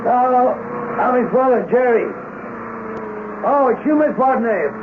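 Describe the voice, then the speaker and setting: An actor playing a plain-spoken, embittered rural mechanic with a halting, folksy way of talking and a gravelly voice, in a radio drama. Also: male voice, very high-pitched. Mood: flustered, guarded. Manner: folksy, halting, ungrammatical speech. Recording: narrow phone-like, faintly noisy radio broadcast.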